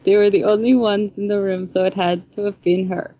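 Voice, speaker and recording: A woman's voice: mid-range voice, steady tone, brisk, warm tone, muffled recording, lightly acted. A woman voicing a haughty, deadly female villain prosecutor with a put-on Russian accent, theatrical and scornful, in a story reading. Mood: accusing.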